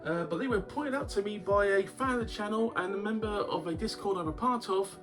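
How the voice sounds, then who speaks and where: Brief: male vlogger, a little high-pitched, sore throat, cough sweet muffling his speech, rambling and wry, guitar music playing behind him. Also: brisk. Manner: rambling, wry, chuckles often. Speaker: YouTube game collector host. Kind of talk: casual chat vlog. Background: background guitar music.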